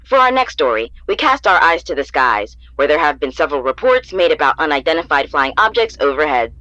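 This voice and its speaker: A man's voice: fairly high pitch, very animated, a little fast, sharp tone, lo-fi sound. A man playing a polished, theatrical TV news anchor, reading scripted newscast stories in an audio drama. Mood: wry, dramatic.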